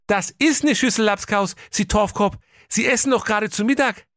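A man's voice: sits high, moderate pace, a bit bright, no room sound, pitched above the performer's own voice. A man voicing a cheeky, exasperated hunchbacked servant who answers in snippy retorts.

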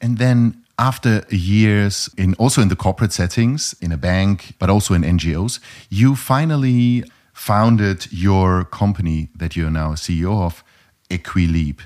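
A man hosting a podcast, reading a measured, formal introduction and then asking interview questions. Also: low voice, a little mellow. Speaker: podcast host.

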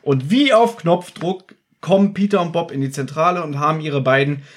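A man hosting a podcast in casual, colloquial talk, recapping things in an animated way. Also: a little high, moderate pace. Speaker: podcast host.

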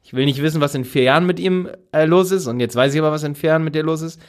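A man's voice: fairly high pitch, racing. A man in his late twenties co-hosting a podcast in casual chat, talking emphatically and laughing often. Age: 20s. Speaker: podcast co-host.

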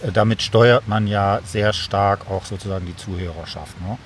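A man's voice: fairly low pitch, moderate pace, slight background noise. A man who hosts a podcast, talking in a casual, reflective way and often checking for agreement. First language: German. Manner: informal, reflective, seeks agreement with tag questions. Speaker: podcast host. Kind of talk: casual conversational interview talk about podcasting.

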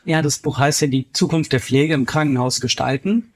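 A man from northern Germany answering as an interview guest, explaining things at length in a measured, earnest way. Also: a little high, steady tone. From Kiel, northern Germany. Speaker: interviewed guest, healthcare executive and author.

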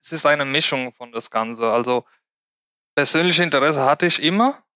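Spanish-accented man with a bright, crisp voice. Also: on the high side, normal speed, no echo, lo-fi sound. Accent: Spanish, with a Lower Franconian German dialect from the Aschaffenburg area. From Spain. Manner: careful, searching for words, occasional grammar slips. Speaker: podcast guest, IT consultant. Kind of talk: casual interview chat.

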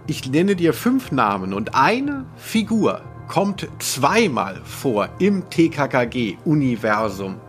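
A man's voice: medium pitch, big pitch swings, slow pace, few pauses, soft background music. A man hosting a podcast quiz in casual chat, teasing and playfully theatrical as he poses questions. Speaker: podcast host and quizmaster.

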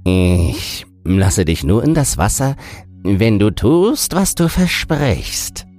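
A man's voice: lowish voice, sing-song, a little mellow, soft background music, voice pushed up, full character voice. Man acting a lazy, drawling village fool. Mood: bargaining. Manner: lazy, deliberate, drawn-out delivery. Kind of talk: fairy-tale audiobook character voice.

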